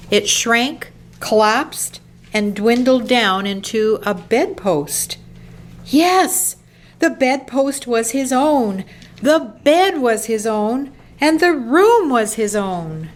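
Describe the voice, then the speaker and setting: A woman narrating a radio play as a measured, solemn storyteller, reading from a script. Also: fairly high pitch. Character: storyteller of a ghostly Christmas tale, measured and solemn.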